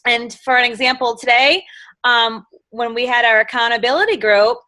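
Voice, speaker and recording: Woman, Boston accent, middle-aged, enthusiastic, rambling, bright voice, dry sound. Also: fairly high pitch, normal speed, no echo. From Massachusetts. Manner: enthusiastic, rambling, quotes others in lively voices. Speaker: guest speaker. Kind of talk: motivational sales talk.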